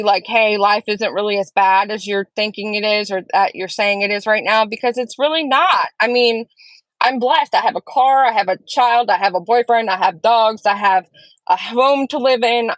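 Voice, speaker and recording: A woman's voice: on the high side, fairly bright tone, dry recording, low-quality recording. A woman hosting a casual live stream, chatting and earnestly answering viewers' comments as she reads them, recorded at home. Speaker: livestream host.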